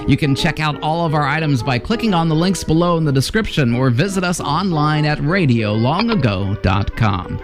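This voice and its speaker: A man reads scripted narration in a slightly high, lively voice. Music plays clearly behind him. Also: moderate pace, few pauses. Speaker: channel narrator.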